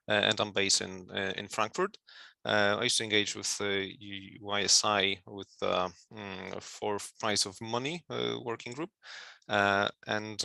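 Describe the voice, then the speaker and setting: A man from Poland introduces himself on a video call, halting often on fillers. His voice is gravelly and very bright with a tense edge. The sound is dry and close. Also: fairly low pitch, dry recording. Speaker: economic consultant. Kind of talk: self-introduction in online seminar.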